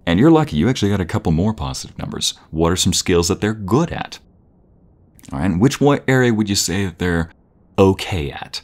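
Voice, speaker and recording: Very deep-voiced man, playful boyfriend roleplay. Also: expressive, a little fast, a little mellow.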